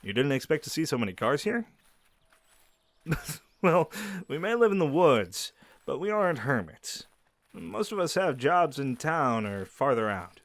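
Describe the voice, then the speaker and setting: An actor playing a friendly young male werewolf who shows a silent listener around his pack's home, easygoing and quick to laugh. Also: fairly high pitch, very animated, dry recording. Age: young adult. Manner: easygoing, laughs often, answers unheard questions. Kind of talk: audio roleplay to a silent listener. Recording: dry close recording.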